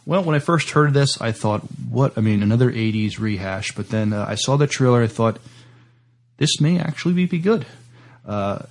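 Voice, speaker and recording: An American man co-hosting a casual entertainment news chat, with a halting delivery and frequent repeated words. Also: mid-range voice, expressive. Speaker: podcast co-host.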